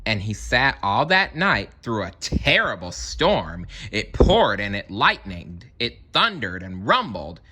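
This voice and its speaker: A man reading a children's story aloud as an expressive storyteller, theatrical and deliberate, with playful asides. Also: fairly low pitch, husky.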